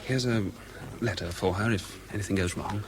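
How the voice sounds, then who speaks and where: An actor playing a big, breezy young fighter pilot with an English accent in a British radio drama, jaunty and offhand. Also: male voice, on the low side, normal speed, natural voice. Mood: awkward, offhand.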